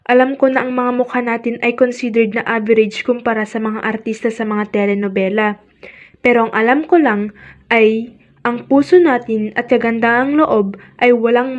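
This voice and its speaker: A woman with a Filipino accent playing a tender, reassuring mother in an amateur radio drama, speaking earnestly and in a measured way. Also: fairly high pitch, close to an everyday voice. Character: tender, reassuring mother advising her son. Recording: dull lo-fi recording.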